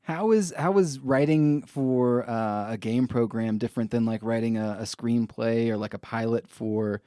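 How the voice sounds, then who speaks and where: A man's voice: mid-range voice, moderate pace, mellow, dry recording, clean studio sound. A man hosting a podcast in a casual, laid-back and informal way. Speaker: podcast host. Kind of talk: casual chat.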